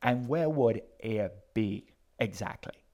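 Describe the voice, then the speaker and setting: A man's voice: on the low side, slow pace, dark tone. Male narrator as unruffled demonic boy, dry, wry, understated, irreverent, storybook character. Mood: wry amusement. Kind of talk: audiobook character acting.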